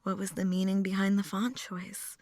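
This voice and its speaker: A woman's voice: mid-range voice, monotone, normal speed, darkish tone, close to an everyday voice. A woman acting a chatty, philosophically morbid date in an intimate ASMR roleplay, musing and teasing as she rambles to the listener. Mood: wry, musing.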